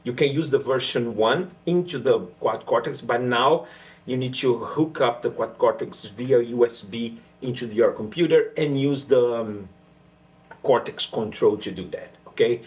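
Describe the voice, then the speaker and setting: A man's voice: medium pitch, measured pace. A man from Brazil with a Brazilian accent and Portuguese as his first language, chatting casually as he explains his guitar rig. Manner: relaxed and chatty, with frequent fillers and restarts. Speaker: guitarist demoing gear. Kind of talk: casual gear demo talk.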